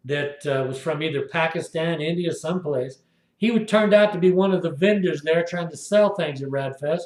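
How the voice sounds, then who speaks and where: High-voiced man in his sixties, echoey room. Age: 60s. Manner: indignant, repetitive storytelling. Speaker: video blogger. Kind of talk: rambling first-person monologue.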